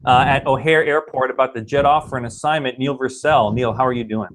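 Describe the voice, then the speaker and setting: A man's voice: mid-range voice, fairly even intonation, a little fast, slight background noise. A man hosting a casual web broadcast. He sounds upbeat and joking. He often stumbles and restarts his sentences. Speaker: host.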